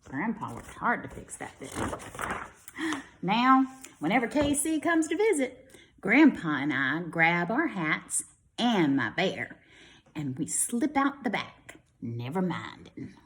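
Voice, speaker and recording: A woman's voice: fairly low pitch, sing-song, takes it slowly, a bit bright. A woman reads a storybook aloud like a teacher to young children, expressively, with casual asides. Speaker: kindergarten teacher reading to pupils.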